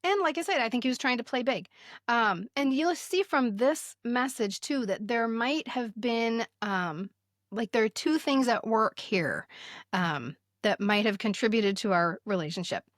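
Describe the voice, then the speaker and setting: A woman in her fifties with a fairly high voice, captured close and dry. She chats candidly like a podcast host, moving easily between casual storytelling and reading aloud. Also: animated, unhurried, dry recording. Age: 50s. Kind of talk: casual chat, reading yearbook messages aloud.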